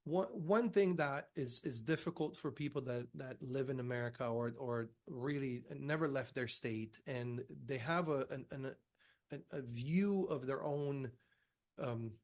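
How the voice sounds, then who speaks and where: A man from Romania speaking as a podcast guest, conversational, haltingly repeating words as he builds his point. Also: medium pitch, darkish tone. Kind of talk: conversational discussion.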